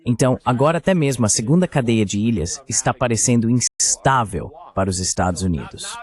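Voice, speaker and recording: A man's voice: medium pitch, expressive, brisk, darkish tone, no room sound. A man giving explanatory geopolitical commentary as a guest on a discussion panel.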